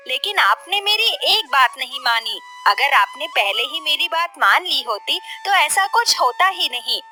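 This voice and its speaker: A woman's voice: sits high, bright, forceful tone, full character voice. A woman voicing a worried, scolding wife with a reproachful, pleading manner. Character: worried, scolding wife of a greedy food vendor. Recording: music bed behind the voice.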